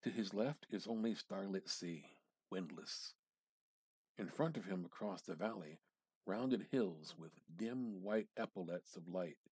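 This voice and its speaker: A man giving a measured, theatrical reading of a literary short story, drawing out words and pausing for effect. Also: deep voice, darkish tone, breathy edge, no room sound. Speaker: amateur reader practicing dramatic reading.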